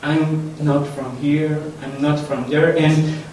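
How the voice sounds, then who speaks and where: A young man from Colombia, giving a personal testimony to a gathering in a nervous, halting, self-deprecating way. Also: fairly high pitch, level tone, a little mellow. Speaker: church testimony speaker. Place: reverberant room, speaking to a gathering. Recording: echoey room, distant mic, faint noise.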